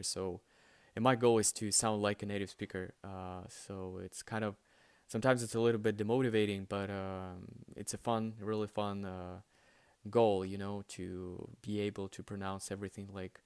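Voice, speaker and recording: A man's voice: lowish voice, flat delivery, moderate pace, smooth, no room sound. Hesitant man with a light Russian accent. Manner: hesitant, many fillers and restarts. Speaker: language learner answering viewer questions. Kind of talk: casual spoken Q&A.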